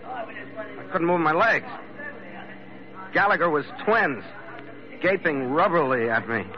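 An actor playing a tough, world-weary ship's skipper in a hardboiled radio drama, terse and sardonic. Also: male voice, on the high side, animated, slow. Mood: grim narrating. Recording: muffled, noisy old radio recording.

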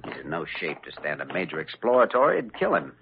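An American actor playing an earnest, determined young hospital doctor in a classic radio drama. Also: male voice, rumbling low, huge pitch swings, fast talker, creaky, exaggerated voice. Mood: grave, focused. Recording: dull, noisy old radio recording.

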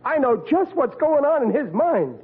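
A man's voice: very high voice, very animated, normal speed, phone-quality sound. A man playing a bumbling, blustering family father, stammering and flustered, in 1940s radio comedy style. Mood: blustering, defensive. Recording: thin, band-limited old radio recording with hiss.

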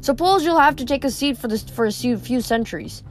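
A woman's voice: a little high, animated, fairly quick, voice pushed up, natural voice. A woman reading an audiobook voices a dry, greedy old male ferryman of the dead, with faint music behind. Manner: dry, sardonic, haggling.

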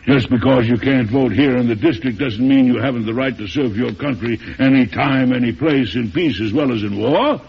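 An actor playing a shrewd, avuncular elderly American president in an old-time radio drama, with a gravelly, husky voice and emphatic delivery. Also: male voice, mid-range voice, normal speed, few pauses. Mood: brisk, paternal. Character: folksy, shrewd, strong-willed elderly male US president. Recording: dull, muffled vintage radio recording.

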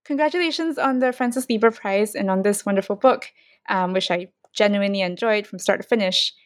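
A woman's voice: medium pitch, no echo. A woman hosting an academic book podcast, reading a formal guest introduction and asking questions. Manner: reads a formal introduction quickly. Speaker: podcast host.

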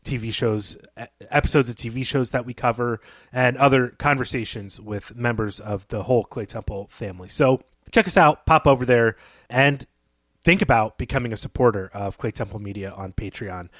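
Male co-host, medium pitch, informal podcast delivery, close dry recording with no room sound. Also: normal speed, a bit bright, no room sound. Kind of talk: casual podcast talk to listeners. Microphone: close podcast microphone, dry sound.

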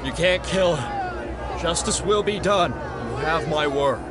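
Hero role, male actor: righteous, urgent, commanding, raised high voice over a loud crowd. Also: lively intonation, takes it slowly, heavy background noise. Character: commanding, righteous male superhero halting a mob. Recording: loud music and crowd behind.

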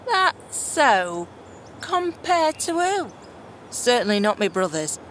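A woman's voice: fairly high pitch, sing-song, takes it slowly, slight background noise. A woman playing a bitter, defiant runaway daughter of a monarch in a fantasy audio drama, sarcastic and blunt, with slangy complaints.

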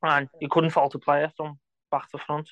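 A man joining a casual sports podcast from home, giving measured, plain opinions. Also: on the high side, little pitch movement, a bit bright, no room sound. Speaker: podcast guest. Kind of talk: casual chat. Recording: dull lo-fi remote line.

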